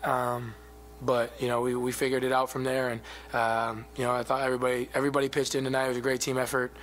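Athlete in his twenties, steady low-key answers at a postgame presser, table mic, light hum. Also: quick pace. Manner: soft-spoken, even, many fillers. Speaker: basketball player. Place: arena press room. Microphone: table mic in front of him.

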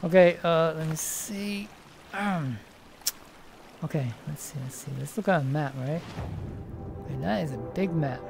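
Gravelly-voiced woman rambling through a stream. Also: sits low, measured pace, pauses often, over music. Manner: rambling, hesitant, lots of restarts. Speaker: game streamer. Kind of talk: casual live-stream commentary. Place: room at home, seated in a VR headset.

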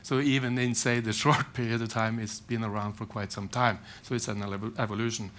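Panelist, male, 40s, measured and halting, headset mic in a hall. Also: on the low side, flat delivery, a bit bright, a little hiss or hum. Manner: measured, hesitant, many restarts. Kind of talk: conference panel remarks. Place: conference hall panel stage.

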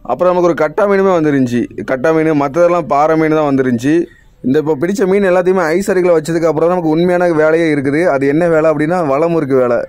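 A young man with a Tamil accent talks viewers through life as a deep-sea fisherman, fast and repetitive, turning to them often. His voice sits a little high, and the recording is dry and close with no room sound. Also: darkish tone, dry recording. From India. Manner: explanatory, repetitive, addresses viewers directly. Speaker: fisherman vlogger. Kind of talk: explaining narration over footage.

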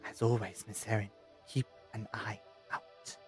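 A man's voice: on the low side, flat delivery, unhurried, darkish tone, faint music behind, natural voice. A man playing an earnest, politely anxious scientist adventurer in an audio drama, stammering a little. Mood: earnest concern.

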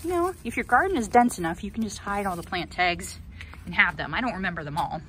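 A woman's voice: mid-range voice, lively intonation, fast talker, fairly bright tone, poor audio. A woman in her thirties giving a casual, chatty garden tour, recorded outdoors on a handheld phone. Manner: chatty, cheerful asides. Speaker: gardening vlogger. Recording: outdoor ambient noise.

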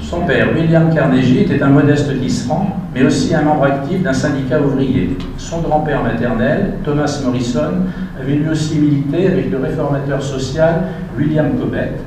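A man's voice: a little high, normal speed, a little mellow. A man giving a history lecture to an audience, reading a prepared text with the odd aside about his slides. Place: lecture hall. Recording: echoey hall, distant mic, noisy.

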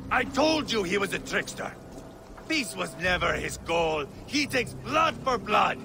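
An actor playing a fierce, volatile Viking warlord who swings between low growls and shouts. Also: male voice, very animated, a little slow, exaggerated voice. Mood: vengeful. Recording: game soundtrack with ambient noise.

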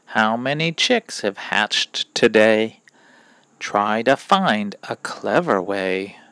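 A man reading rhyming verses aloud from a children's picture book in a deliberate, expressive way, pausing between phrases. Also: medium pitch, vocal fry, no echo. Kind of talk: children's story read-aloud of rhymes.

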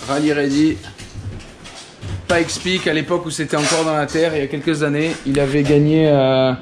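A man in his thirties from France, speaking with a French accent, excitedly narrating a tour with a handheld action camera, laughing often. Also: on the high side, moderate pace, noisy background. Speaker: vlogger touring a car workshop. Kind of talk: casual handheld vlog narration. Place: house, workshop and ranch, indoors and out. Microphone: action camera held at arm's length.

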